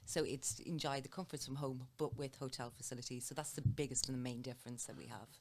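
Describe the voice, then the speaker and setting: A middle-aged woman, a hotel sales manager, calmly explaining her work as an interview guest, often listing examples. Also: a bass voice, fast talker, a little hiss or hum. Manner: plain, steady explaining with listed examples.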